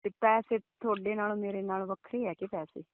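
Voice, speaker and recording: A woman's voice: medium pitch, steady tone, a little fast. Woman on a thin phone line. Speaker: phone call partner. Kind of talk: casual intimate phone chat. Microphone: phone call.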